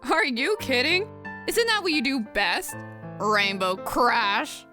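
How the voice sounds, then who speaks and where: A woman's voice: sits high, big pitch swings, takes it slowly, sharp tone, soft background music, heavily acted. A woman voicing a sassy young female pegasus pony in an audio story, with a wry, informal delivery. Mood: teasing. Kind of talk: scripted audio story acting.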